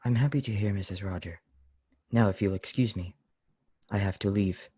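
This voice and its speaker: A man acting a curt, secretive gentleman lodger with a low, husky voice in an old radio mystery. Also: moderate pace, dry recording, studio quality. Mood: coldly polite.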